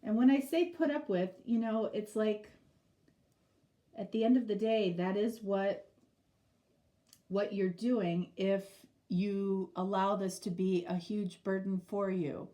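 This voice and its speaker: Slow-talking nasal woman reading tarot. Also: mid-range voice, fairly even intonation, warm tone. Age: middle-aged. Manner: rambling, many fillers. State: stuffy with a cold. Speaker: tarot reader. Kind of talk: casual tarot reading to camera.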